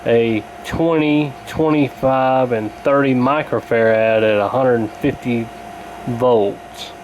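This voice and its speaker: A man narrating a repair casually in an unhurried, halting, matter-of-fact way. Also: medium pitch, fairly even intonation, slight background noise, low-quality recording. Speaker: radio repair hobbyist presenting.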